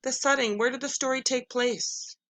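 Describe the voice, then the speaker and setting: A woman's voice: high voice, fairly even intonation, moderate pace, fairly bright tone. A woman teacher giving a read-aloud lesson for children, moving between story narration and instruction. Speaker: elementary school teacher.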